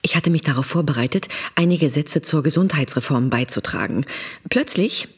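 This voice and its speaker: A woman playing a candid, bemused pharmacist, telling her account in a breathy, confiding voice in a radio drama. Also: deep voice, normal speed, exaggerated voice. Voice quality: breathy, husky. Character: female pharmacist, candid and bemused talk-show guest.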